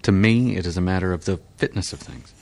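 A man reading an audiobook, voicing a stolid male surgeon who speaks flatly, patiently and formally. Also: sits low, gravelly. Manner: flat, measured, formal.

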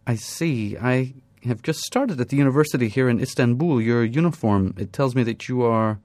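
A man reading the part of a young woman student with a Turkish accent, speaking softly, politely and a little hesitantly. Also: mid-range voice, moderate pace, no echo, pitched above the performer's own voice, lightly acted. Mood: wistful. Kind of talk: audiobook character voice.

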